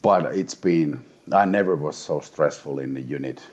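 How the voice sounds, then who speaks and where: A man's voice: a bass voice, moderate pace, a little mellow, highs cut off. A Finnish man in his forties from eastern Finland, a tired interview guest who talks bluntly, swears, and restarts his sentences often. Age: 40s. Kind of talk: casual interview talk.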